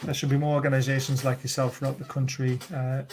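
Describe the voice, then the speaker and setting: A man's voice: medium pitch, flat delivery, fast talker, a little mellow, a little hiss or hum. A young man hosting a casual fan livestream, reading out comments in a jokey, self-deprecating way and laughing often. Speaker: livestream host.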